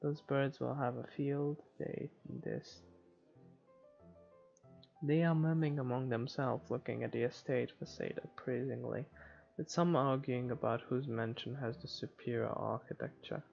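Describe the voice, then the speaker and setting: A man's voice: medium pitch, fairly even intonation, measured pace, vocal fry, a little mellow. A man reading visual novel narration aloud for a playthrough, on a dull lo-fi mic. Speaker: let's player reading game narration. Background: faint game music.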